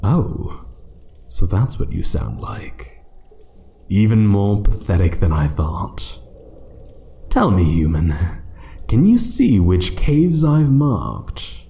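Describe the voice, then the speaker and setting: Ancient male dragon, acted by a man for fantasy ASMR: low, dark voice, condescending menace, toys with his prey. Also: big pitch swings, gravelly, exaggerated voice. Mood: cruel amusement. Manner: slow, taunting, condescending, dropping into whispers. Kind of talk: one-sided ASMR roleplay acting.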